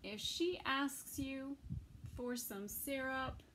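Middle-aged woman, story time reader for small children. Slow, expressive, fairly high voice, home recording. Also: a little hiss or hum, low-quality recording. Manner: slow, expressive, pausing between phrases. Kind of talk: reading a picture book aloud to toddlers. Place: small indoor room with bookshelves.

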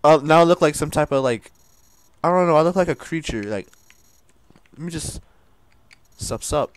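A man casually commenting on a video game he plays, joking and laughing, jumping from loud squeals to low mumbles. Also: expressive, moderate pace, dry recording. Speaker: gameplay streamer. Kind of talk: casual let's-play commentary.

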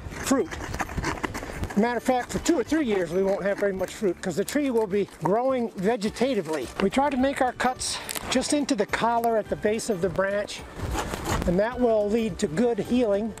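High-pitched folksy American man teaching. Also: animated, normal speed, background noise. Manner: folksy, plain-spoken. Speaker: extension educator, presenter. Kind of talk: instructional demonstration.

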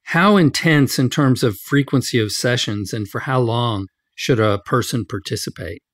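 A man's voice: medium pitch, normal speed, no room sound, studio quality. A man hosting a medical interview, putting prepared-sounding questions to a guest. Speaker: interviewer, medical journal editor host.

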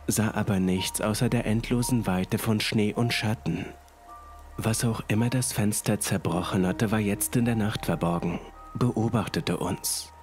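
A man narrating a scripted first-person horror story in a deliberate, suspenseful manner. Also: lowish voice. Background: music and rain.

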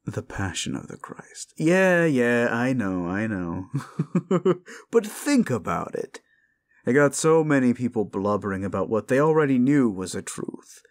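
A man's voice: medium pitch, big pitch swings, a little slow. A man voicing a cruel, condescending demon in an intimate audio roleplay, taunting the listener with drawling sarcasm. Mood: coy, teasing. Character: condescending male demon, gleefully cruel and mocking.

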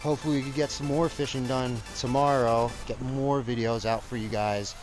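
A man's voice: medium pitch, fairly even intonation, a little fast, soft background music, low-quality recording. Easygoing young man vlogging by a lake. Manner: easygoing, chatty, talks to the fish and viewers. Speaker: fishing vlogger. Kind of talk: casual fishing vlog narration. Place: outdoors on a rocky lakeshore. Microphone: action camera, head-mounted and at arm's length.

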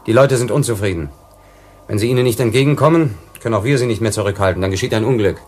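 An actor in a German sci-fi radio play, playing a firm, impatient strike leader, insistent and businesslike. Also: male voice, fairly low pitch, quick pace, a little mellow, close to an everyday voice.

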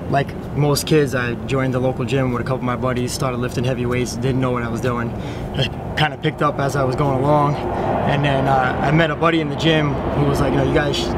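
A man's voice: mid-range voice, heavy background noise. A man in his thirties with a New York accent, a personal trainer talking casually to camera. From Bronx, New York. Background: loud music.

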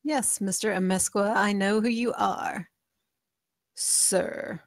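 Woman playing Mom, even-toned, composed gang matriarch. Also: medium pitch, takes it slowly, no echo, clean studio sound. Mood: composed, deferential. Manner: deferential, controlled. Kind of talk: script table read.

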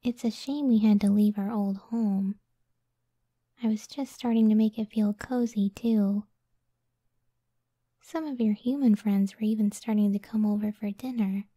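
Woman voicing a soft, mellow, half-whispering gentle giantess. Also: medium pitch, fairly even intonation, dry recording, close to an everyday voice. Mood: wistful, insecure. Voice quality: soft, breathy, often near whisper. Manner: slow, tender, unhurried. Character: gentle, self-doubting giant cyclops wife, devoted and protective. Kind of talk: ASMR roleplay, soft intimate half-whispered speech.

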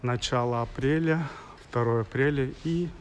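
Man, around forty, walking travel vlog, medium pitch, halting frank complaints, loud windy street noise, handheld phone. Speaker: travel vlogger. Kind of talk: walking vlog monologue to camera. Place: outdoors on a resort town street.

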